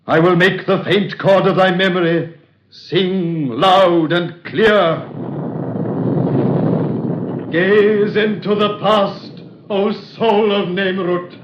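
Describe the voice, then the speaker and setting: An actor playing a grave, stately ancient Egyptian god of the dead in a 1930s radio drama, speaking in archaic phrases. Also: male voice, sits high, steady tone, slow, slight background noise. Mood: solemn. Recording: dull roomy 1930s radio recording.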